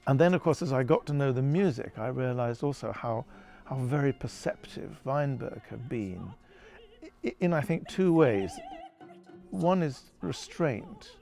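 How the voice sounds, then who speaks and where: Thoughtful man with a creaky, gravelly voice. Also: mid-range voice, animated, music in the background. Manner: thoughtful, halting, searching for words. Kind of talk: reflective interview commentary.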